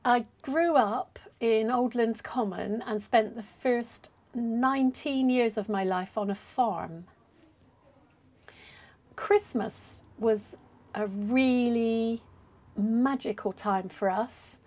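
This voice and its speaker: An older woman recalling farm-childhood Christmases in a measured way, seated on a sofa. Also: a little high, a little mellow. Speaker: interviewee. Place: living room indoors.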